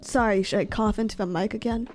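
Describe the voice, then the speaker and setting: A woman streamer who is sick and congested, talking softly and close to the mic while reading out donations in a casual chat. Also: steady tone, fast, soft background music. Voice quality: low husky sick voice. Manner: soft-spoken, mic held close. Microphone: close desk mic.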